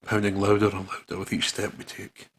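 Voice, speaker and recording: A man's voice: lowish voice, completely flat delivery, a little fast, fairly bright tone, husky. A man hosting a gaming video, reading story narration aloud dramatically and dropping into casual asides.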